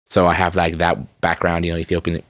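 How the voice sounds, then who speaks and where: Laid-back guest musician, man in his thirties, lowish voice, dry close sound. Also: brisk, no room sound. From Redmond, Washington. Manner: relaxed, rambling, frequent fillers and restarts, laughs easily. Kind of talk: casual interview.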